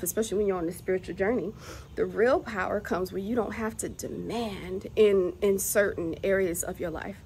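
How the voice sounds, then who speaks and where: A woman's voice: medium pitch, a little mellow. A woman, speaking in a candid, reflective monologue straight to camera. She laughs often and speaks with spiritual conviction. A faint hiss sits behind her voice. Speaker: vlogger, mother of three.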